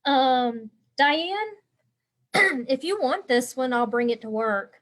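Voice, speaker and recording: A woman's voice: sits high, expressive, slow pace, a bit bright. A woman with a congested voice, hosting a casual live stream as an embroidery instructor and chatting with viewers by name. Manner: chatty and rambling, answering viewer comments by name.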